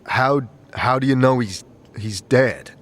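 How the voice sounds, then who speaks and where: A man's voice: medium pitch, slow, fairly bright tone. A man playing a curious, patient, slightly wary adventuring leader in an audio drama, with a hesitant, questioning manner. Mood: curious, careful.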